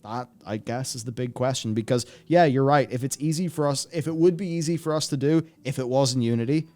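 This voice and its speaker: A man's voice: medium pitch, a little fast, darkish tone, soft background music. A man hosting a casual gaming discussion, reading and answering viewer comments in a rambling way as he thinks aloud.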